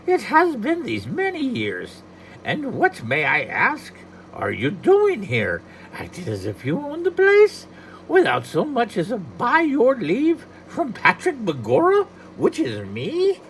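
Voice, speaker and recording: A storyteller puts on a tiny, hot-tempered leprechaun from a storybook, proud and quick to take offence. The voice sits far higher than the reader's own and stretches its words slowly with lively rises and falls. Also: slight background noise, exaggerated voice. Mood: indignant. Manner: indignant, slow and deliberate. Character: small angry male leprechaun, touchy and proud.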